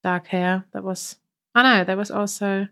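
A woman's voice: medium pitch, steady tone, normal speed, bright, forceful tone. A woman co-hosting a podcast, sniffly with a cold, chatting casually and laughing often. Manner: chatty, laughs often, trails off mid-sentence. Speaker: podcast co-host. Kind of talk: casual chat.

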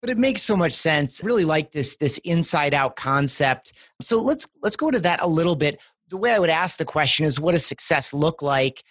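A man's voice: a little high, expressive, normal speed, hardly any pauses, a bit bright, no room sound. Male podcast interviewer, encouraging and articulate, dry close-miked recording. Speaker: guest podcast host, interviewer.

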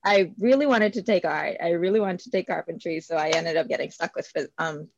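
A woman's voice: on the low side, brisk, creaky, dull audio, a little hiss or hum. A woman, talking as an interview guest, hesitant with many fillers and frequent laughs. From Bahamas. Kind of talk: casual interview.

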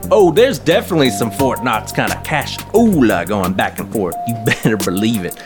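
A man's voice: medium pitch, expressive, moderate pace, music in the background, natural voice. An actor playing an eager, folksy small-town hobbyist man in an audio drama, chatty and full of slang. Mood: jovial, chatty.

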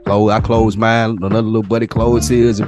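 American male podcast co-host, forties, lowish voice, loose profane storytelling with plenty of slang, music clearly behind him. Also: brisk. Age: 40s. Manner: profane, slangy, animated retelling. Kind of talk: casual storytelling chat.

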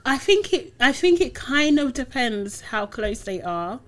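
A woman's voice: high voice, very animated, unhurried. A woman chatting casually with friends, playful and teasing, often laughing. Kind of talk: casual chat among friends.

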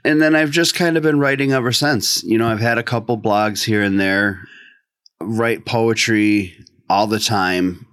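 A man's voice: on the low side, measured pace, fairly bright tone, clean tone, no echo, studio quality. A middle-aged American man from the northeastern United States, talking casually as a writer being interviewed.

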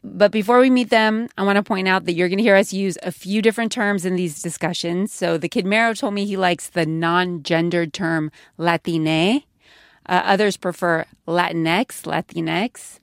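A woman hosting a podcast, narrating in a clear, measured, conversational way. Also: lowish voice, fairly even intonation, no echo, clean studio sound. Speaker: podcast host. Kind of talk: scripted narration and interviewing.

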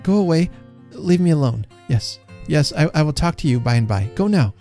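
A man's voice: a little high, lively intonation, moderate pace, gravelly, dark tone, pitched above the performer's own voice. A man plays a storybook mother in a voice lifted well above his own. She is incredulous but affectionate, full of exclamations and questions, over a bed of music. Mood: urgent. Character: calm mother, startled and incredulous, affectionate with her children.

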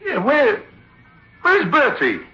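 A man's voice: very high voice, wildly swooping pitch, takes it slowly, highs cut off, a little hiss or hum, exaggerated voice. A man playing a bewildered, agitated theatre manager in a 1960s radio drama, emphatic and repeating himself. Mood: agitated, demanding. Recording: band-limited old radio recording.

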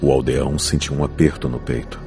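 Very deep-voiced male narrator over music. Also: little pitch movement, a little mellow. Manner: solemn, measured, theatrical. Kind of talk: dramatic scripted audiobook narration.

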